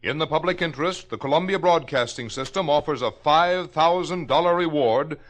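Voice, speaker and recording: A man's voice: a little high, moderate pace. An American man making formal announcements as a 1950s radio network announcer. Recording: vintage radio broadcast.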